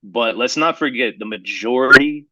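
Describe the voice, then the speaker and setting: An American man in casual podcast conversation, animated and slangy, punctuating his points like he is talking to a buddy. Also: medium pitch, moderate pace, lo-fi sound. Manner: animated slangy talk, often saying "bro". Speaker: podcast co-host.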